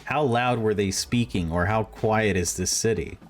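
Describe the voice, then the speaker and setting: A man's voice: fairly low pitch, normal speed, faint music behind. A man doing a let's play, reading a visual novel aloud and adding casual, sarcastic asides. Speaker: video game streamer.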